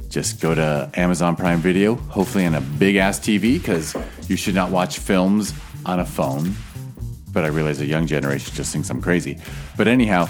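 A man in his late thirties with a low, deep voice hosts a casual podcast chat, candid and jokey with the odd swear word. Soft music plays faintly behind him. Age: late 30s. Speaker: podcast host.

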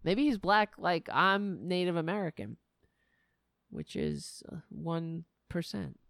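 A woman's voice: lowish voice, lively intonation, slow, gravelly, fairly bright tone, dry recording, studio quality. A woman from Staten Island, telling a rambling, profane comic story in a casual monologue and laughing at her own jokes. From Staten Island, New York.

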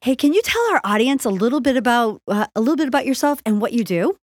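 A woman's voice: a little high, animated, fast, no room sound. Woman co-hosting, dry close sound. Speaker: podcast co-host. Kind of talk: conversational interviewing.

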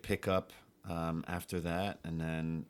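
A man's voice: deep voice, flat delivery, slow, clear, resonant tone. A man, telling his life story casually as a podcast guest. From Geneva, Switzerland and United States.

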